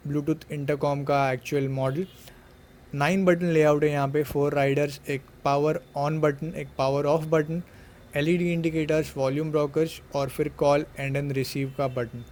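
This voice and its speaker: A man's voice: a little high, darkish tone. A man narrating a product unboxing and review, explaining things step by step and mixing in English words.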